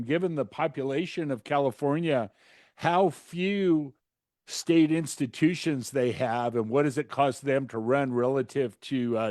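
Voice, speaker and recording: A man giving conversational policy commentary as a co-host, in a halting, rambling way. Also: fairly high pitch, a little mellow, no echo, clean studio sound. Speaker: podcast co-host, businessman and consultant.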